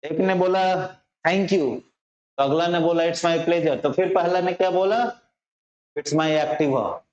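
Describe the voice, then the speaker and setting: A man with an Indian accent teaching English informally, repeating phrases slowly and often checking that learners understand. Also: on the high side, a little mellow. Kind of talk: informal spoken lesson. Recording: roomy echo, slightly dull sound.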